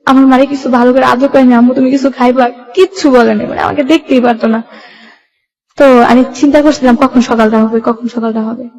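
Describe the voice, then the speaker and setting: A woman's voice: high voice, fairly even intonation, a little fast, a little mellow, muffled recording. A young woman with a colloquial Bangladeshi Bengali accent, telling her life story in a plain, run-on way as a radio interview guest.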